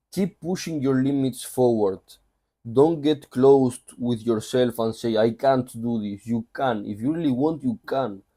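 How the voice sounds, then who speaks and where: A man's voice: mid-range voice, mellow. A man with a Spanish accent summarizing a book in a loose, conversational way, full of asides. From Spain. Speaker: book reviewer. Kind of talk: casual book summary talk.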